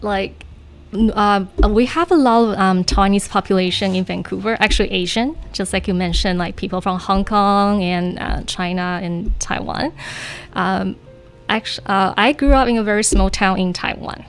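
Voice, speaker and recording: A woman in her thirties, raised in Canada, talks casually in a radio studio. Her voice sits in a middle pitch. She leans on fillers and laughs easily as she chats. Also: normal speed, soft background music. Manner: chatty, many fillers and laughs. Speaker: guest English teacher.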